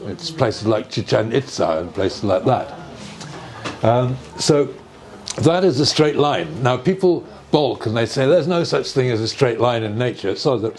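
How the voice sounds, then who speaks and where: An older man giving an informal, measured explanation in conversation, often checking with rhetorical questions. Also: mid-range voice, darkish tone. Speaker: speaker on earth energies and ancient sites. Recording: noticeable background noise.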